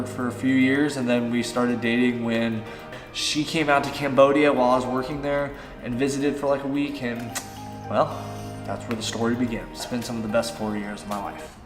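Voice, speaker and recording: A man's voice: mid-range voice, steady tone, moderate pace, reverberant, long reverb tail, faint music behind. A man in his thirties, a fitness vlogger, answering viewer-style questions in a loose, chatty way with many fillers. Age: 30s. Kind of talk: casual Q and A vlog. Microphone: on-camera shotgun mic.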